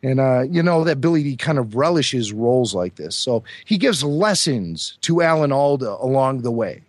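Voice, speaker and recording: A man co-hosting a podcast, hoarse from a cold, chatting enthusiastically about film trivia. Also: mid-range voice, expressive, moderate pace, no echo. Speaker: podcast co-host. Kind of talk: casual chat.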